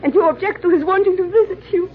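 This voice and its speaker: A woman's voice: extremely high pitch, steady tone, quick pace. A woman playing a gushing, meddlesome society hostess and matchmaker in a 1940s radio drama, effusive and bossy. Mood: gushing, insistent. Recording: muffled old radio recording.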